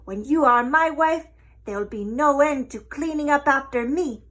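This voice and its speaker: A woman's voice: high voice, normal speed, a bit bright, pitched above the performer's own voice. A woman voicing a boorish, smelly male pig in a storybook, hammy and snorting. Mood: indignant. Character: boorish, smelly male pig suitor, proud of his filth. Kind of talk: storybook character voice.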